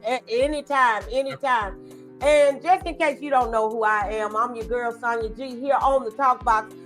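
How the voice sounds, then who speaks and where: Female faith talk-show host, high voice, conversational but exhorting, faint music underneath. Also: moderate pace, fairly bright tone.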